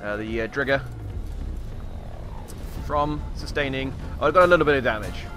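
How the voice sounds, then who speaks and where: Over clearly audible music, a man plays a bumbling Australian survival expert. His Aussie accent wanders, and he boasts and rambles in a mock-instructional way, improvising as he commentates on a video game. His pitch is middling. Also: moderate pace, bright, forceful tone, lightly acted. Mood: deadpan mock-expert. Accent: put-on wandering Australian. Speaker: gameplay commentator.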